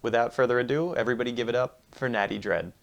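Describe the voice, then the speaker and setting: A man opens a comedy show with a scripted, deadpan monologue. His voice is gravelly and he mocks himself in a dry, steady way. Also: medium pitch, quick pace. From United States. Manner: deadpan, self-mocking jokes. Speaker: host.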